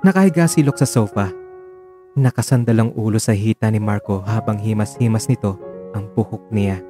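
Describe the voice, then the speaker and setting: A man with a Filipino accent narrating a scripted dramatic story in a hushed, solemn way. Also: fairly low pitch.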